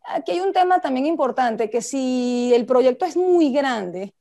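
A woman's voice: sits high, lively intonation, a little slow, mellow. A woman with a Venezuelan accent giving an informal lecture, talking conversationally and putting questions to her listeners. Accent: Venezuelan Spanish. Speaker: project management instructor and speaker.

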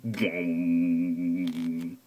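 A man's voice: sits low, completely flat delivery, extremely slow, mellow, a little hiss or hum. A man in a goofy, theatrical read-aloud, making sound effects with his mouth.

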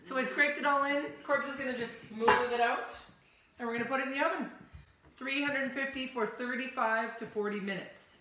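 A woman in her thirties hosting a casual home cooking demonstration, giving relaxed step-by-step instructions with a teasing edge. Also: high-pitched, brisk, slight background noise. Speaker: home cooking show host. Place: home kitchen, indoors. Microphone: far camera. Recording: echoey kitchen room.

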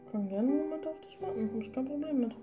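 A woman's voice: high-pitched, rapid-fire. Young woman gaming over plucked guitar music. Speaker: gaming video co-host. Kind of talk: casual co-op gameplay chat.

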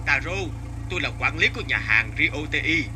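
An actor playing a polite restaurant manager in an animated story, formal and firm. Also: male voice, fairly high pitch, normal speed, background noise, close to an everyday voice. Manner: courteous, firm. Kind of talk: animated moral story dubbing.

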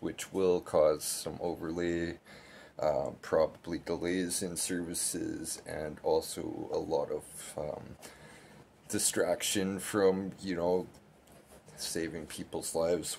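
A man's voice: sits low, gravelly, a little mellow, a little hiss or hum. A man in his thirties giving casual, critical political commentary to camera from a small bedroom, talking loosely with lots of fillers. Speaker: political vlogger.

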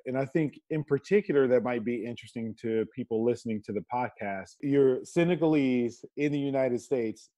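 A man's voice: mid-range voice, dark tone, dry recording. A man co-hosting a podcast, asking an interview question in a friendly, plain way. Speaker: podcast co-host.

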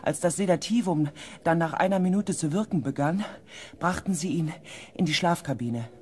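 An actor as the composed storyteller of a science-fiction radio play, reading scripted narration. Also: male voice, on the high side, moderate pace, natural voice. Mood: composed, factual.

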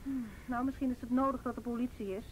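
Opinionated, offhand woman, played by an actress, high-pitched, radio play with slight noise. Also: steady tone, fast, close to an everyday voice, poor audio. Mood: indignant, opinionated. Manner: offhand, contrary. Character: evasive, opinionated, casual female car owner. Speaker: radio drama actor. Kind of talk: Dutch radio play dialogue. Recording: old radio recording, faint noise.

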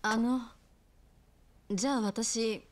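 An actress playing a gentle, sorrowful bar hostess in a game drama, speaking softly and hesitantly. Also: female voice, on the high side, steady tone, very slow. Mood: subdued. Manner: hesitant, soft-spoken. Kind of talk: dramatic Japanese video game acting.

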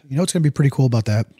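A man hosting a casual family podcast with his kids, his voice going hoarse as he loses it. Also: medium pitch, mellow, no echo, studio quality. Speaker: podcast host and dad.

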